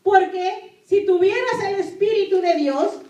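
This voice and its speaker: A woman's voice: very high-pitched, measured pace, dark tone. A woman pastor preaching a sermon in Spanish as a native speaker, emphatically repeating key phrases and putting questions to her listeners. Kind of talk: sermon with scripture reading. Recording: echoey room, distant microphone.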